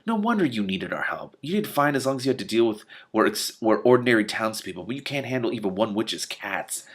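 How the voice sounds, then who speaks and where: A man's voice: lowish voice, big pitch swings, brisk, husky. A man voicing an arrogant young wizard in a storybook, smug and sneering. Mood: mocking. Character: arrogant, mocking young male wizard, spoiled son.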